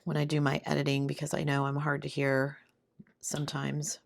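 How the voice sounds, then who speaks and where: A woman chatting casually and murmuring to herself as she does a craft, her hoarse voice giving out from sickness. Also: monotone, normal speed. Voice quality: hoarse, low voice. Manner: murmuring to herself while working. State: sick, voice giving out. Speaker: craft video host. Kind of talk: casual chat while doing a craft.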